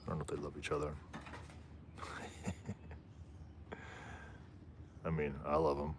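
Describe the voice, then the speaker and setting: Man, deep low voice, casual rambling live-stream host, outdoors, faint background noise. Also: completely flat delivery, takes it slowly. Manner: rambling, easygoing, often trails off and laughs. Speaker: livestream host. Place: outdoors on a rooftop.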